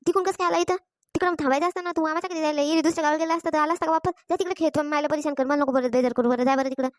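Woman playing a high-pitched, nagging village mother. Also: fairly even intonation, racing, no echo, studio quality, natural voice. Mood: exasperated, scolding. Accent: rural Maharashtrian, Vidarbha. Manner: nagging, repetitive, complaining. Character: exasperated scolding village mother of a naughty boy. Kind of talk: Marathi cartoon comedy voice acting.

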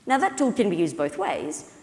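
A woman in her thirties giving an explanatory, conversational conference keynote, with halting, hesitant phrasing. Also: medium pitch, huge pitch swings, creaky. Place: indoor stage at a conference, at a podium. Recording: reverberant hall sound.

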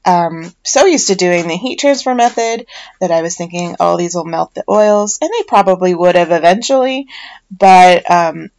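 A woman's voice: lowish voice, moderate pace, darkish tone. A middle-aged woman with a chatty, self-deprecating manner, giving a casual voiceover narration as a home soap maker.

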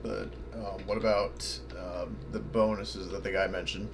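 A man's voice: fairly low pitch, flat delivery, unhurried, creaky, noisy background. A man chatting casually as a player in an online tabletop role-playing game, often speaking in character. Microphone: online voice call.

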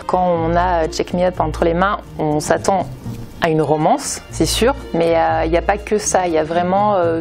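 A woman's voice: on the low side, a little mellow. A woman in her twenties, a nurse, answering interview questions with some hesitation. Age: 20s. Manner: hesitant, with fillers. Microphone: lapel mic.

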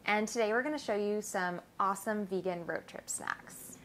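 A woman's voice: mid-range voice, moderate pace, fairly bright tone, slight background noise. A young woman hosting a casual vlog, bubbly and enthusiastic, filmed by a camera across a living room. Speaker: host. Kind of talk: casual chat. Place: living room with window, indoors.

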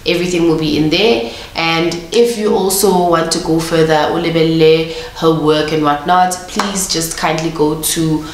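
A woman's voice: sits low, fairly even intonation, normal speed. A young woman with a South African Sotho accent, chatting casually to camera in a vlog, her voice nasal from a flu. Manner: chatty, mixing English with Sesotho, pet names for viewers. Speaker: vlogger. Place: small room indoors, couch, pink lighting. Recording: roomy indoor sound with faint noise.

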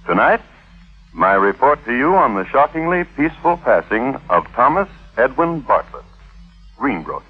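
An actor playing a wry, morbidly droll host narrating true crime in deadpan, deliberate 1950s radio style. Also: male voice, medium pitch, slightly rough. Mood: deadpan, macabre. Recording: tinny vintage 1950s radio broadcast.

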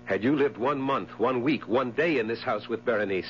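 An actor playing an irritable, haunted husband full of hate, in an emphatic 1970s radio drama style. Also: male voice, medium pitch, normal speed, muffled recording, slight background noise, lightly acted. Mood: irritated, bitter. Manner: theatrical, emphatic. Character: irritable, haunted, hateful widowed husband Ernest. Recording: humming, band-limited 1970s radio recording.

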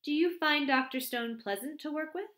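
Woman voicing a mild, gently ironic young wife. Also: high-pitched, moderate pace, pitched above the performer's own voice. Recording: roomy echo.

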